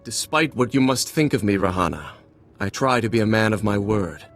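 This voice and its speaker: A man's voice: lowish voice, expressive, moderate pace, fairly bright tone, soft background music. An actor voicing a stern, righteous middle-aged male warrior monk in a video game, speaking formally and earnestly. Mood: polite, guarded. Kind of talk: dramatic video game cutscene acting.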